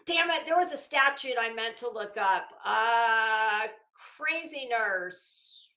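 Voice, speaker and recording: An American woman hosting a livestream, chatting casually with viewers in a sarcastic, blunt, sweary and animated way. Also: fairly high pitch, takes it slowly. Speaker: livestream host. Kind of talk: casual chat with live viewers. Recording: roomy, echoey sound.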